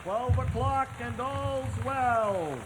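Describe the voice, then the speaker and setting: An actor playing a night sentry in a radio drama, calling the watch in long, singsong phrases. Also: male voice, extremely high pitch, full character voice. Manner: long drawn-out, singsong calls. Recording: distant, echoing, noisy rain ambience.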